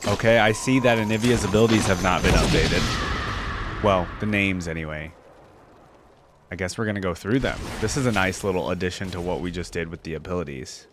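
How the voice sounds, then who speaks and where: A man's voice: low-pitched, brisk, background noise. A man giving casual, rambling reaction commentary as a video host.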